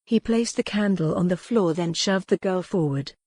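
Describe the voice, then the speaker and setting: A woman's voice: lowish voice, moderate pace, darkish tone, no echo, studio quality. A woman reading a historical romance novel as a scripted, dramatic audiobook narration.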